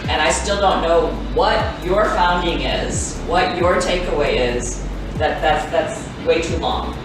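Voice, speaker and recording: Female trainer, direct and instructive, speaks slowly, somewhat distant mic over clear music. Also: low voice. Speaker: workshop trainer. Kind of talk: informal feedback and advice. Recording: echoey room, off-mic, music underneath.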